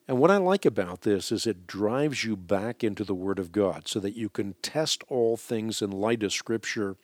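Measured low-voiced radio host. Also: male voice, no room sound. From Netherlands. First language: Dutch. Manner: measured and deliberate, with frequent hesitations. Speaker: radio call-in host answering Bible questions. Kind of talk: call-in radio answers.

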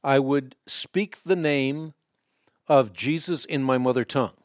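This is a man hosting a call-in radio show, his first language Dutch. He explains answers fluently and emphatically, sometimes tripping over rapid repeated words. His voice sits in a middle register and is recorded close and dry in the studio. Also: medium pitch, no room sound. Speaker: radio talk show host.

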